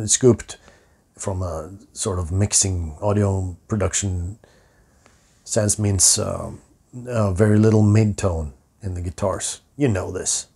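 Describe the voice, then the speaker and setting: A middle-aged man with a hoarse voice, rambling and swearing through a casual rant about his music to the camera. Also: on the low side, a little mellow. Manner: rambling, profane, self-interrupting. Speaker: metal musician and vlogger.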